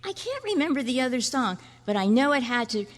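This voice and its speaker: A woman's voice: high voice, very animated, brisk. A woman playing an earnest, chatty fourth-grade boy in an old-time radio style performance. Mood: nostalgic, eager. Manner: chatty, boyish slang. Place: large indoor room at a convention. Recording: echoey hall at a club meeting.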